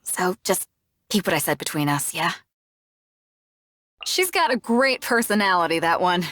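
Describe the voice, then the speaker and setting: A woman's voice: fairly low pitch, lively intonation, a little slow, fairly bright tone, soft background music. A woman playing a bold, big-hearted ship captain for a video game, with a confident, frank and laid-back manner. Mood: wry, friendly. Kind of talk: video game character voice lines.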